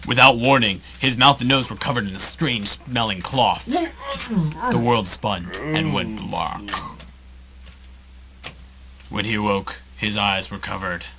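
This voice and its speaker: A man's voice: mid-range voice, very animated, takes it slowly, pauses often, a crowd in the background. A man narrating an amateur audio drama as a dramatic storyteller. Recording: noisy amateur recording with clicks.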